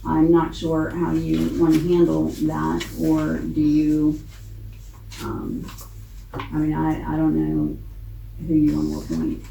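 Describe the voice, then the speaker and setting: A woman's voice: low voice, lively intonation, takes it slowly, warm tone. A plain-spoken American woman chairing a council meeting as its president, using informal parliamentary procedure. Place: indoor council chamber. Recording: echoey meeting room with clear background noise.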